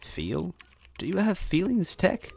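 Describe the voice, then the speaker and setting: A man's voice: high voice, sing-song, takes it slowly, gravelly, a little mellow, husky, pitched above the performer's own voice, heavily acted. A man voicing a kind, anxious story hero looking for his brother, hesitant and earnest. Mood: gentle.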